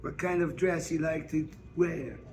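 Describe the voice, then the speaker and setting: A man's voice: on the high side, unhurried, darkish tone. A man reading the part of a curious, forthright Southern woman in a novel, in folksy dialect. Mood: eager. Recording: noisy public store ambience.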